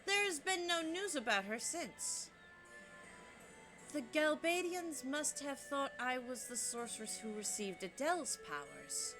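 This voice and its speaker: Game sorceress, calm and sorrowful, lively in pitch but hushed, read by a woman over her own gameplay. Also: measured pace, soft background music, heavily acted. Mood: solemn, gentle.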